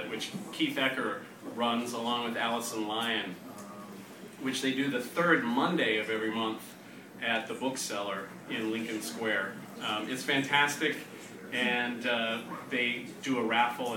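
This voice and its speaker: A man with a wry, self-deprecating manner, hosting and reading aloud to a live audience. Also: medium pitch. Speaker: co-host of a reading series, poet. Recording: echoey room, distant mic, noisy live audience.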